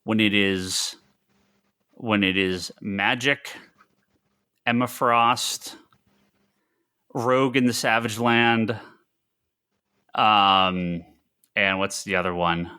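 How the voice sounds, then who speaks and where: A man's voice: lowish voice, very slow, pauses often, bright, forceful tone, smooth. A man talking casually on a comic book discussion podcast, explaining things informally as a retailer on the panel.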